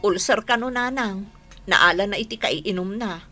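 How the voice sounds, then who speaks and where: A woman's voice: mid-range voice, normal speed, sharp tone, soft background music, natural voice. An actress playing a long-suffering, scolding wife and mother in a radio comedy drama, with an emphatic manner and a Filipino Ilocano accent. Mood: worried.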